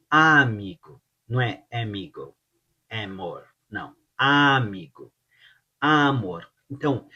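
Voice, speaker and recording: A man's voice: mid-range voice, bright, forceful tone, breathy edge, highs cut off. A Brazilian man with a Brazilian Portuguese accent who teaches Portuguese, giving a pronunciation lesson and saying each drill word several times over. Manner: slow, drills words by repeating them.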